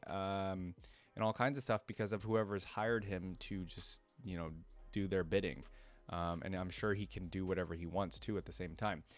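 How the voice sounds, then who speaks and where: Low-pitched man reacting and reading aloud. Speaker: reaction video host. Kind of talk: casual reaction commentary mixed with reading lore aloud.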